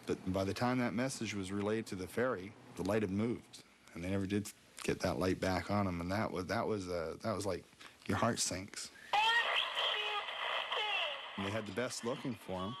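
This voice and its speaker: A man recalling events in an interview, halting and repeating words as he goes. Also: low-pitched. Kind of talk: interview recollection. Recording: faint background noise.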